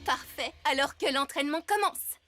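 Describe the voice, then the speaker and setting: A woman voicing a caring, brisk young girl who takes charge in a cartoon dub, earnest and expressive. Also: high voice. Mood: brisk, confident. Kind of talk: French cartoon dub acting. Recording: music behind the dialogue. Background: music.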